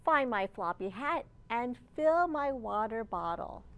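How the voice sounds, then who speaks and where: Woman, high-pitched, slow and deliberate, children's storytime read-aloud, librarian addressing little listeners. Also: animated, a bit bright.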